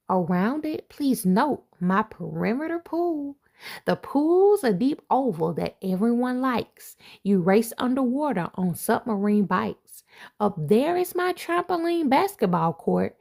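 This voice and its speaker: Woman reading a kids' book out loud, slow and bouncy, high-ish voice with a bit of crackle. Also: vocal fry. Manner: expressive storybook reading with playful asides. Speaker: assistant principal reading aloud. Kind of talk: children's picture book read-aloud.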